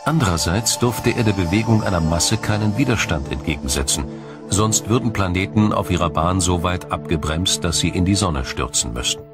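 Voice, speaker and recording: A man reading scripted science documentary narration in a measured, explanatory way. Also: low voice. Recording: music behind the narration. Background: background music.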